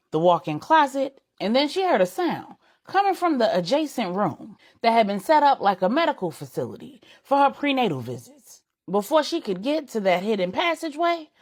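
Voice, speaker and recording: A woman storyteller reading a dramatic scripted story, phrasing it deliberately in short units. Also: medium pitch. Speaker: storyteller, narrator.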